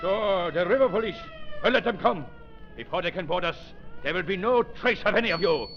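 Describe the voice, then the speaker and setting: A high-pitched male villain, menacing and imperious in old radio-drama style. The recording is dull and carries a faint layer of noise. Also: animated, fairly quick, creaky. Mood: cold, threatening. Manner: commanding, theatrical. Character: ruthless foreign male villain barking orders, desperate and menacing. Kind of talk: 1930s radio acting.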